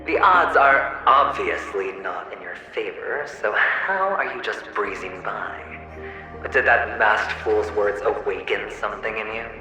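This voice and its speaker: An actor voicing the mocking, theatrical inner self of a young gambler in a video game, with drawn-out, swaggering delivery and an echo on the voice. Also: male voice, lowish voice, animated, sharp tone, exaggerated voice. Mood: amused taunting. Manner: mocking, drawn-out, sing-song swagger with sighs and chuckles. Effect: echoing reverb on the voice. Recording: echoing game cutscene with music. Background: music.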